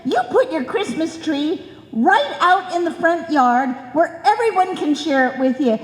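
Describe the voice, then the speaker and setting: A woman playing an excitable little neighborhood kid in a live old-time radio comedy, with gushing, childlike talk. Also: very high voice, unhurried. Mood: eager, giggly. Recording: echoey hall, live stage performance.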